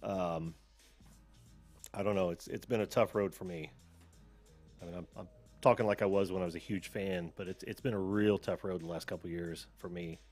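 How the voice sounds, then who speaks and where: A man's voice: low-pitched, steady tone, normal speed, long pauses, darkish tone, soft background music. An American man hosting a call-in show over a video call, chatting casually, often laughing, trailing off and restarting.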